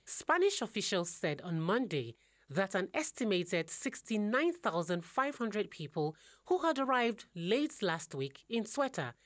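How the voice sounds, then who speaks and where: A woman's voice: medium pitch, sing-song, a little slow, no echo, studio quality. A woman presenting a news segment, reading a scripted intro and then questioning a correspondent. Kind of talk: news anchor interview.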